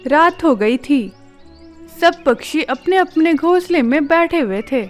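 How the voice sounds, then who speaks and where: A woman narrating a children's cartoon story in a calm, even manner. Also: high-pitched, slow, faint music behind.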